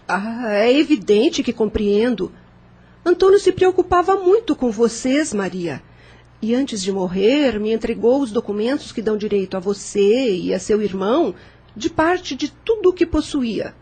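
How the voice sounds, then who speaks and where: A woman playing a kindly, gracious lady of means in an audio novel, with a husky voice and a Brazilian accent. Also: a little high, lively intonation, normal speed, a little mellow. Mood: sympathetic. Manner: gracious, reassuring. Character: kindly, talkative, cheerful widowed lady of means.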